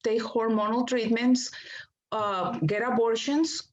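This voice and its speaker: A woman with a Spanish accent, a native Spanish speaker, calling in to a talk show and speaking haltingly with many restarts. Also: on the high side, fairly even intonation. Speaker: caller. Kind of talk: casual call-in chat. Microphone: phone line. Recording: dull lo-fi phone call.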